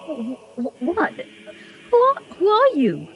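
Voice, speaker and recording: Innocent, high-voiced young gentlewoman. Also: huge pitch swings, takes it slowly, dull audio, faint music behind, full character voice. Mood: startled. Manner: hesitant, polite, questioning. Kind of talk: gothic radio drama acting.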